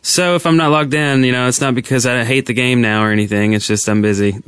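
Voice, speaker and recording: A man's voice: medium pitch, steady tone, fast, fairly bright tone, clear, resonant tone, no room sound. A man co-hosting a podcast in casual group chat. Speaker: podcast co-host.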